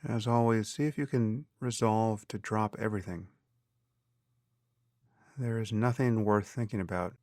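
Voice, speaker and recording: A man guiding a meditation as a teacher, speaking calmly and deliberately in measured phrases with pauses. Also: on the low side, no room sound, clean studio sound. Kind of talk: guided meditation narration.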